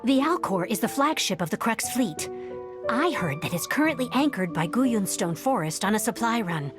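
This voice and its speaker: A woman playing a gentle young exile in a video game, speaking in an earnest, measured storytelling way. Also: on the high side, expressive, lightly acted. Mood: earnest, advising. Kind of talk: video game dialogue acting. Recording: game music behind the voice. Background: background music.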